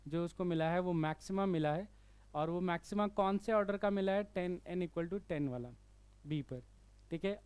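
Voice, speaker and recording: A man's voice: high-pitched, darkish tone, smooth, no echo. A man with an Indian accent teaching physics, working through a problem step by step and often checking in with the listener. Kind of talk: explanatory problem-solving lesson.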